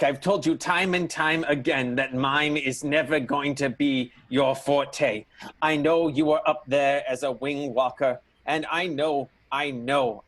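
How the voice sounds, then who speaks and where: A man acting a harried, self-pitying vaudeville showman in an improvised radio soap, husky, weary and repetitive. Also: medium pitch, a bit bright. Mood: placating.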